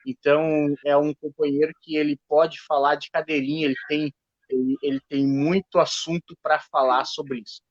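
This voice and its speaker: A man hosting a live online discussion, talking in a halting way with many restarts. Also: on the high side, level tone, no room sound. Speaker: host. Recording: dull lo-fi stream audio.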